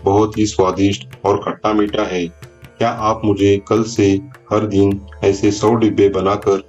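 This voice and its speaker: A man's voice: lowish voice, little pitch movement, a little slow, darkish tone, lo-fi sound, faint music behind, natural voice. A man voicing a polite, businesslike village merchant in a cartoon moral story. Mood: pleased, businesslike. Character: businesslike male village merchant buying goods.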